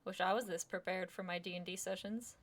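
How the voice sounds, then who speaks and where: A woman's voice: lowish voice, steady tone, a little fast. A woman giving brief, amused, casual commentary as she plays through a video game. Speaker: gaming video creator.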